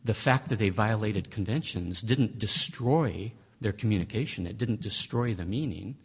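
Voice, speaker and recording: A man's voice: fairly low pitch, moderate pace, husky. A man giving a conversational lecture on reading scripture, emphatic and fond of rhetorical questions, often restarting his phrases. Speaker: Bible teacher.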